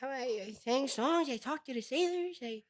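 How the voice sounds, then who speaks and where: Tiny, very high-pitched old fisherman. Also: expressive. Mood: reminiscing. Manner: halting, repeats words, sighs. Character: very small, simple 92-year-old fisherman, earnest and boastful. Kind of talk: improvised comedy interview.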